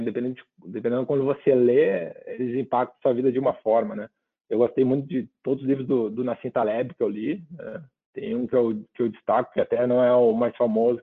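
A man's voice: mid-range voice, husky. A man from southern Brazil, giving reflective interview answers and often ending with tag questions. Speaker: guest. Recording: phone-like narrow line.

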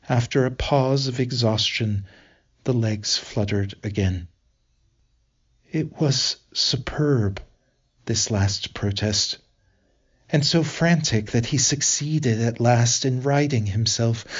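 Slow, deliberate man reading literary prose. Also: mid-range voice, muffled recording. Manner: measured, deliberate, with pauses between phrases. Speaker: reader of a literary essay.

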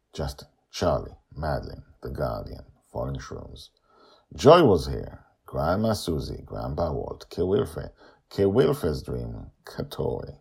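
Very deep, gravelly, animated male storyteller. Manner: animated, emphatic, dramatic pauses. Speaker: storyteller, podcast host. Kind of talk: expressive storytelling with casual asides.

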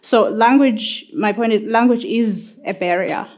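Slow, reflective woman speaking on a panel. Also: a little high, darkish tone. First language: not English. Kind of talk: panel discussion.

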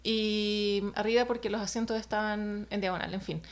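A woman's voice: medium pitch, steady tone, moderate pace. A woman, an illustrator telling a personal story in a casual chat, hesitant with many fillers.

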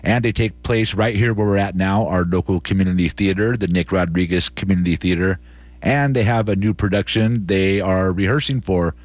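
A man's voice: low voice, normal speed, no echo. A man with an American accent presenting community radio in a casual, conversational way as host and interviewer.

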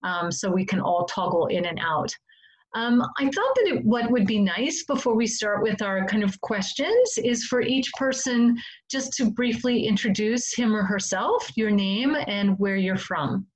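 A woman hosting an online group discussion over a video call, friendly and halting with frequent ums as she welcomes participants. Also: medium pitch, animated, rough recording.

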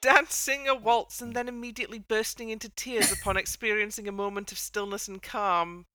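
Bright, clear-voiced woman. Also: mid-range voice, fairly even intonation, brisk, faint music behind, rough recording. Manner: wry, playful. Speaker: podcast host. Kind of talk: casual panel chat.

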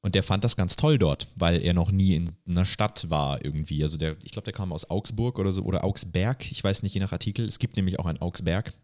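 A man with a low voice tells a story in a casual podcast style. His phrasing is slangy and hesitant, with English words dropped in. The sound is dry, with very little room. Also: fast, few pauses, no room sound. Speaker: podcast co-host.

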